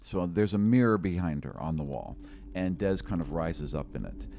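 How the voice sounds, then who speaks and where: A man's voice: low voice, lively intonation, moderate pace, mellow. A man in his forties narrating an improvised tabletop game as game master, close on a studio microphone. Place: small room, indoors. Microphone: close studio microphone on stand.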